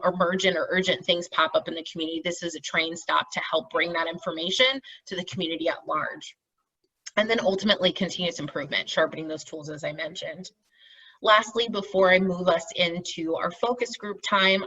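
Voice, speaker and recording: Female meeting facilitator, middle-aged, lowish husky voice, encouraging and explanatory, heard on dull conference-call audio. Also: bright, forceful tone, distant mic. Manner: encouraging, explanatory, often checks in with "right?". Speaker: meeting facilitator, team lead. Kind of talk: informal presentation on a group call.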